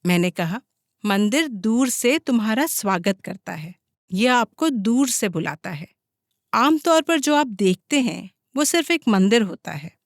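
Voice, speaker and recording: A woman's voice: a little high, big pitch swings, a little slow, dry recording, clean studio sound. A woman reading a scripted audiobook as its narrator.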